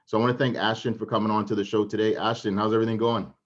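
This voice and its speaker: A man with a low voice hosts a podcast, speaking deliberately and warmly as he sets up the conversation. The sound is slightly dull and lo-fi. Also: level tone. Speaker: podcast host. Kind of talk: podcast interview hosting.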